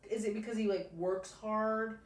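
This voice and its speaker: A woman teacher reading a picture book aloud to a child, pausing to explain and prompt with encouraging questions. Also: mid-range voice, steady tone. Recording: roomy, distant mic, faint noise.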